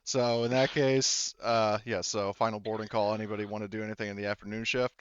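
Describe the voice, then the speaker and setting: A man's voice: lowish voice, little pitch movement, fast talker, clear, resonant tone, no room sound, dull audio. A man running a tabletop roleplaying game, narrating informally and hesitantly as he improvises. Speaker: game master.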